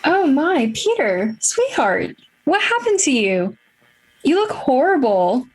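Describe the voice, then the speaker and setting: A woman playing a worried, doting small-town mother in an amateur radio play, fussing in drawn-out phrases. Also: high-pitched, sing-song, exaggerated voice, rough recording.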